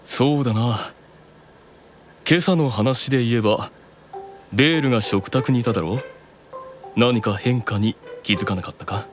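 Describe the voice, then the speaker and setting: An actor playing a proud, keenly observant demon eldest brother in an anime audio drama, explaining things with deliberate authority. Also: male voice, medium pitch, faint music behind, natural voice. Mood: quietly absorbed.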